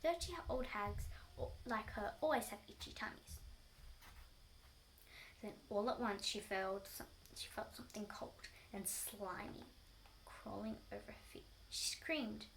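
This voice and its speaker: A woman reading a children's story aloud, somewhat halting and often going back over words. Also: medium pitch, a little hiss or hum, rough recording. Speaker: storybook reader.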